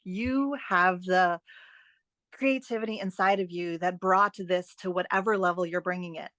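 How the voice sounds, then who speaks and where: A woman guest in a conversational interview, giving advice in an animated, emphatic and encouraging way with playful asides. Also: medium pitch, dry recording. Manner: animated, emphatic, repeats phrases for stress. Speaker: podcast guest, writing and editing expert.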